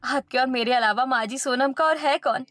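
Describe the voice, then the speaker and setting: A woman's voice: high voice, normal speed, a bit bright, no room sound, studio quality. A woman playing a meek, suffering young daughter-in-law in a Hindi cartoon story, plaintive and earnest. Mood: gentle pleading.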